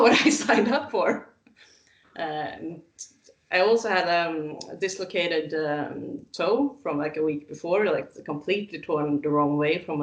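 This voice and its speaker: A woman guest wrestler telling stories in a casual interview, animated and laughing often, with frequent "um" pauses. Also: fairly low pitch. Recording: roomy echo.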